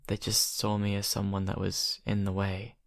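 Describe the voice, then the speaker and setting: A young man acting a shy college vampire who confides haltingly and softly to his best friend in an ASMR roleplay. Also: lowish voice, completely flat delivery, gravelly, dry recording, studio quality. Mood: wistful, hurt. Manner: hesitant, halting, soft-spoken confiding. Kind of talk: ASMR roleplay, intimate improvised monologue.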